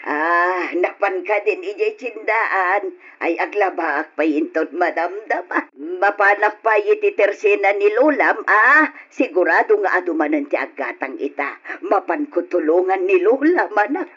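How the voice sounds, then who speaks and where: A woman's voice: fairly low pitch, expressive, measured pace, a bit bright, breathy edge, exaggerated voice. An actress playing a confused, forgetful elderly mother, muttering and repeating herself with an Ilocano accent in an old radio drama. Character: confused, forgetful elderly mother fussing about cats, pots and rice. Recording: muffled lo-fi radio drama recording.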